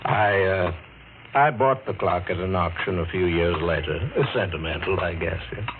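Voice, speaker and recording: On a hissy, narrow vintage radio recording, a male actor plays a sly, affable older gentleman with something to hide. His voice sits low. He muses haltingly, in classic radio mystery style. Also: lightly acted. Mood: smooth, falsely sentimental. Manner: halting, full of musing "uh" and "eh". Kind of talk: 1940s radio mystery acting.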